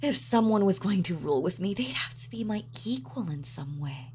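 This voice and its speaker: A woman's voice: mid-range voice, lively intonation, moderate pace, a little mellow, a little hiss or hum, lightly acted. A woman playing a sadistic, gloating female supervillain in a scripted roleplay audio, taunting and savoring her words theatrically. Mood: contemptuous, menacing. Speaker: voice actor.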